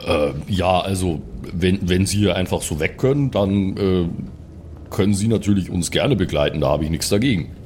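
A man's voice: deep voice, moderate pace, darkish tone, slight background noise, lightly acted. A man playing a cocky, simple male ex-soldier in a tabletop game, with a casual, would-be charming manner. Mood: casual, hesitant. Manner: casual, laid-back, mock-charming.

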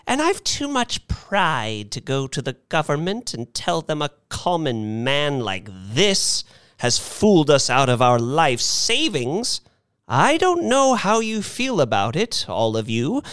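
A woman's voice: medium pitch, no echo, studio quality, pitched above the performer's own voice. A narrator voicing an indignant, bossy and proud old woman in her eighties, with an emphatic, declamatory delivery in a story reading.

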